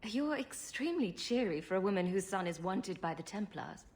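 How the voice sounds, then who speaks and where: Actress as wry, expressive female adventurer. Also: mid-range voice, normal speed, natural voice. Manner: sardonic, self-assured. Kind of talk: video game cutscene acting.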